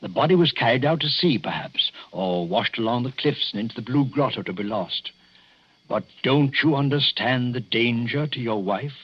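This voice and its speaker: An actor playing a grave, hesitant English doctor who gives ominous warnings in the formal, theatrical manner of 1940s radio drama. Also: male voice, medium pitch, lively intonation, moderate pace, slightly rough. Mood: urgent, foreboding. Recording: dull 1940s radio recording.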